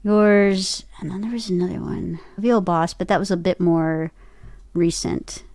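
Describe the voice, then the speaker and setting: A woman chatting casually as an interview guest, telling stories in an animated way and acting out her own reactions. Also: on the low side, a little slow, creaky, no echo, studio quality. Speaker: guest, voice actor and podcaster.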